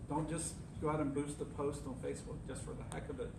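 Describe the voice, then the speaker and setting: A man's voice: a little high, fairly even intonation, fast talker, gravelly. A man on a discussion panel, explaining things in a direct, advice-giving way. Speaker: panelist, agency CEO. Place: university lecture room. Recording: echoey room, distant mic, noisy.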